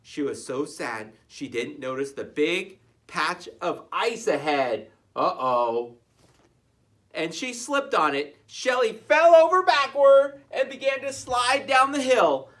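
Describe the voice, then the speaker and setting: Children's read-aloud narrator: playful, expressive, bright, chatty, highly animated. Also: slow pace. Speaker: storybook reader.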